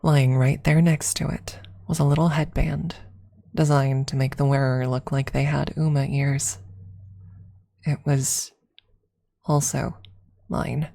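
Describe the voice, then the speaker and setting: Woman, ASMR narrator, very low pitch, narrow intonation, soft deliberate delivery, dramatic pauses. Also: level tone, gravelly. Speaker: streamer reading a story aloud. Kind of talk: ASMR narration of a first-person story.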